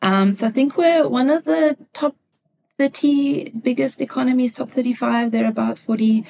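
A woman with a South African accent from Cape Town, an economist answering interview questions in a thoughtful way, often pausing to find her words. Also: high-pitched, creaky, a little mellow. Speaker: guest, economist.

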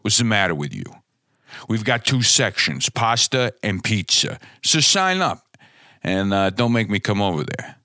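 A man's voice: fairly low pitch, sing-song, a little slow, bright, forceful tone, no echo, studio quality, exaggerated voice. A man playing a pushy, brash cooking-section spokesman with a New Jersey accent in a comedy ad. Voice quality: brash, pushy, mock-threatening. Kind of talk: comedy sketch ad.

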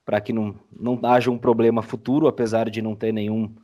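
A man's voice: on the low side, level tone, fast, dark tone. A man from Brazil with a Brazilian accent, chatting casually as a podcast guest and explaining his editing work. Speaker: podcast guest, audiodrama editor. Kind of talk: casual explanatory interview chat.

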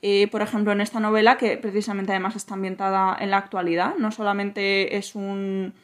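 Woman writer from madrid on a podcast, lots of little pauses and restarts, thinks out loud. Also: medium pitch, flat delivery. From Spain, Madrid. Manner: thoughtful, many pauses and restarts. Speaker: novelist guest. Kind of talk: podcast interview.

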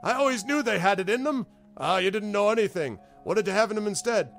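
A man's voice: sits high, expressive, fast talker, creaky, a bit bright, faint music behind, voice pushed up, exaggerated voice. A man voicing a pair of cackling old male hecklers who jeer from the walls in a tabletop game. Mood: heckling. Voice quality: cackling, old-man squawk like puppet judges.

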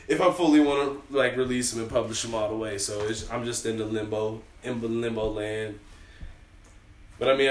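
A man's voice: fairly low pitch, roomy sound. A young man co-hosting a casual podcast, talking loosely and profanely, with lots of restarts. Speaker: podcast co-host and beatmaker. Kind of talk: casual chat. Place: small home room.

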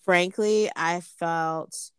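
A woman's voice: lowish voice, drawn-out words, smooth, dry recording, clean studio sound. A woman in casual conversation, animatedly retelling a personal story and acting out what she said. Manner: animated, quotes herself in raised voice, frequent restarts.

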